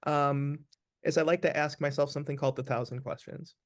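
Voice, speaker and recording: A man speaking as an actor being interviewed, explaining things casually in a thoughtful, deliberate way. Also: a little high, fairly even intonation.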